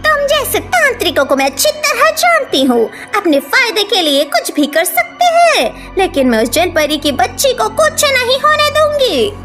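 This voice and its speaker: A woman voicing a shrill, protective witch in a cartoon horror story. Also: sing-song, normal speed, hardly any pauses, a bit bright, faint music behind, full character voice. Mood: defiant. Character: shrill female witch, fierce protector of a mermaid's baby.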